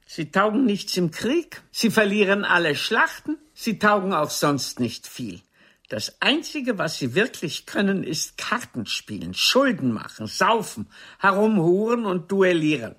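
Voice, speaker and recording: An actress playing a brash, sharp-tongued stage diva in a radio play, blunt and theatrical in her delivery. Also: mid-range voice, big pitch swings, unhurried, breathy edge, heavily acted. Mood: scornful. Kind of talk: German radio play acting.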